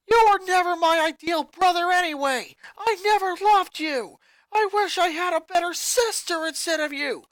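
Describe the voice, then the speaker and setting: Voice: a bit bright, dry recording, rough recording. A fanfiction reader voicing a hot-tempered, sporty teenage girl who screams in rage at her brother. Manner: screaming, shrill and enraged.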